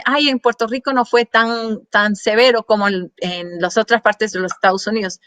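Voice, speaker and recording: A woman's voice: on the high side, pushed and bright, no echo. A woman presenting research slides to an audience, talking with many fillers and restarts and slipping in English words. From Colombian parents. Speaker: guest speaker.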